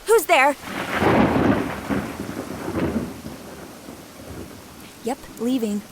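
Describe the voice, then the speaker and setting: A woman's voice: sits high, very animated, normal speed, heavy background noise. A woman playing a skittish, sardonic young college student in an audio drama, casual and hesitant, often muttering to herself. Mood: nervous muttering. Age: young adult. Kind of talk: naturalistic audio drama acting.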